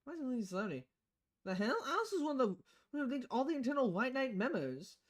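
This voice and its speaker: A woman's voice: sits high, big pitch swings, fast. A woman streaming a visual novel, reading the dialogue aloud with casual, playful commentary and switching voices between lines.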